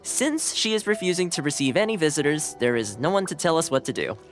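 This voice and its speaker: Lively, friendly young retainer. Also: male voice, a little high, quick pace, faint music behind. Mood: explanatory, concerned. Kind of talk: English video game voice acting.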